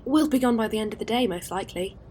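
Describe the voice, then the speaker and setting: An actress as an easygoing alien courier with a slightly high voice. She is friendly and matter-of-fact in science-fiction drama style, with faint ambience behind her. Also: quick pace, darkish tone, slight background noise, close to an everyday voice. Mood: polite, businesslike. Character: friendly, practical female alien spaceship courier.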